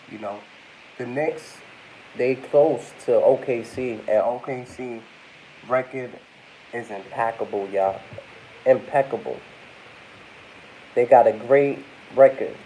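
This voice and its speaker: Congested young man, mellow voice, rambling casual vlog talk, faint background hiss. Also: medium pitch, fairly even intonation, a little slow, long pauses, rough recording. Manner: rambling, addresses viewers as y'all. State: congested, under the weather. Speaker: vlogger.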